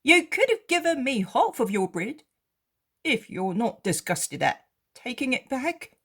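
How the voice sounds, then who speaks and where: A man's voice: very high voice, very animated, a little slow, a bit bright, slightly rough, pitched above the performer's own voice, full character voice. A woman voicing a poor, humbled male beggar who pleads and repents. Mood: pleading. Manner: earnest, pleading.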